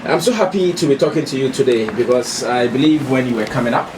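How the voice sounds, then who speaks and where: A male radio host leads an interview in an encouraging way, backing his guest with soft mm-hmms. His voice sits at an ordinary male pitch. He sounds set back from the mic, with clear studio noise around him. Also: expressive, fast, far from the mic.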